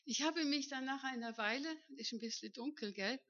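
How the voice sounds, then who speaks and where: A woman's voice: sits high, normal speed, fairly bright tone, no room sound, highs cut off. A woman in her fifties who grew up in northern Germany, a missionary giving a chatty personal testimony to a church congregation and often checking that the room agrees. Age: 50s. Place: church hall.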